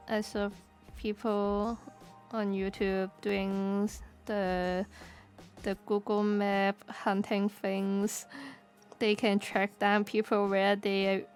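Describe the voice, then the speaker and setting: A woman from Hong Kong with a Cantonese accent, chatting casually on stream, halting and restarting as she searches for words. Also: mid-range voice. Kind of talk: casual chat while drawing.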